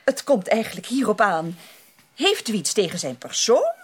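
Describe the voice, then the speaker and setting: A woman's voice: medium pitch, wildly swooping pitch, moderate pace, slightly rough. An actress in a Dutch radio play playing a genteel, tactful woman who speaks politely and formally, with teasing affection. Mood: polite, pleading. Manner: polite, formal, hesitant phrasing.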